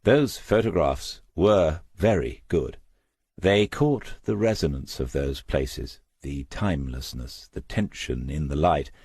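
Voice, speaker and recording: A man narrating a crime novel as a scripted audiobook, in a measured, reflective storytelling manner. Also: very deep voice, a little mellow, husky, no echo, studio quality.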